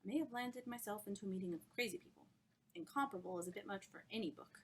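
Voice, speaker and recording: A woman's voice: mid-range voice, quick pace, slightly rough, natural voice. A woman reading a story, voicing the wry inner thoughts of a skeptical man in a dry, understated way.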